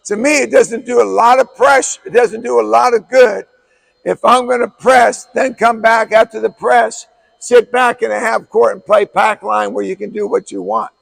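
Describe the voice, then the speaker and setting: A hoarse man coach with a strikingly high voice, heavily gravelly and raspy throughout. He explains things bluntly and emphatically, hammering points home by repeating key words. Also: fairly even intonation, moderate pace, a bit bright. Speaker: basketball coach. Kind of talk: coaching clinic talk.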